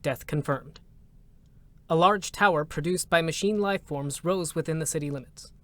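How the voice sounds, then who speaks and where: A man narrating a scripted drama in a measured, solemn manner, with short, deliberate phrases. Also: a little high, bright, forceful tone, no echo. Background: music.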